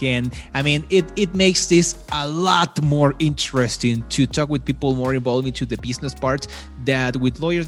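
A man hosting a podcast, introducing the show and asking his guest about his career. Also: medium pitch. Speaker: podcast host. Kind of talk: interview hosting.

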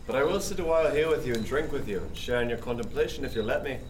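A man's voice: medium pitch, lively intonation, racing, natural voice. A man playing a genial, loyal sailor with hearty old-fashioned nautical speech in a period audio drama. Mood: cheery, coaxing. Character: genial, loyal male sailor, fond of song. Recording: roomy, distant, faint noise.

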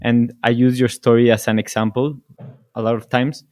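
A man hosting a podcast, a native Spanish speaker asking questions in English slowly and hesitantly, full of admiration for his guest. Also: medium pitch, little pitch movement, a little mellow. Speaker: podcast host. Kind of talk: podcast interview.

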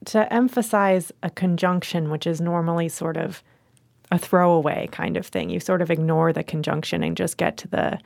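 A woman with a low, creaky voice discusses literature as a host and editor. She is measured and analytical. Her voice sounds very dry, with no room around it. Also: lively intonation, darkish tone, no room sound. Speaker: magazine editor and podcast host.

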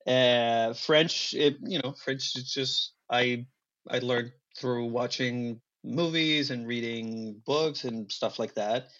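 A man's voice: medium pitch, takes it slowly, fairly bright tone. Spanish-native man, muffled lo-fi. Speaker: guest, actor. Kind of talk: casual interview talk.

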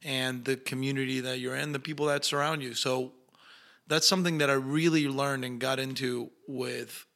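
A young adult man whose first language is Spanish, speaking English with an Argentine accent. His voice is bright and forward at a middle pitch. He tells stories in a loose, animated way and acts out the voices of the people he quotes. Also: normal speed. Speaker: actor guest. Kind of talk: casual interview storytelling.

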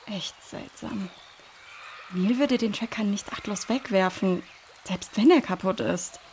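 A composed female search leader, played by a woman in a children's audio play. She sounds assured and caring at a medium pitch, reasoning things out and gently directing her team. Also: unhurried, a little hiss or hum, close to an everyday voice. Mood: thoughtful, puzzled. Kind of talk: children's audio drama acting.

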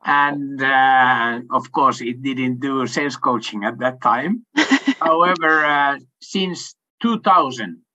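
Middle-aged man from Finland, native Swedish speaker; bright, forceful tone, hesitant delivery full of fillers, dull lo-fi call sound. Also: medium pitch, lively intonation, slow. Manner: hesitant, many fillers, laughs often. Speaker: sales coach and company owner. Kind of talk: casual interview answers.

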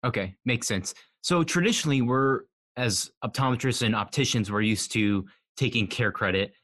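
A man's voice: medium pitch, normal speed, a bit bright, no room sound. A man who works as an optometrist, co-hosting an interview and asking short clarifying questions. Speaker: optometrist co-host. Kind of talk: industry interview.

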